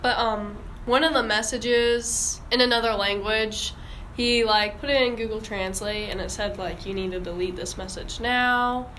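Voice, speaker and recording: A woman's voice: fairly high pitch, fairly even intonation, normal speed, fairly bright tone, a little hiss or hum, low-quality recording. A young woman hosting a casual podcast discussion in an informal, hesitant way. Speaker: podcast host.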